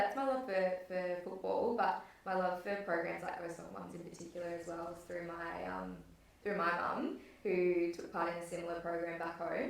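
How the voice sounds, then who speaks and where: A woman's voice: lowish voice, creaky. A young woman from northern New South Wales, answering interview questions in a friendly, hesitant way with many ums. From northern New South Wales, Australia. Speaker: guest, football program coordinator. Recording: echoey, distant from the mic.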